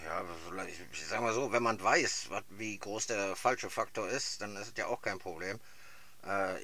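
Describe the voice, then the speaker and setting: A man's voice: lowish voice, sharp tone. A man chatting casually as a radio amateur over a radio link. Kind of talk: casual two-way radio chat.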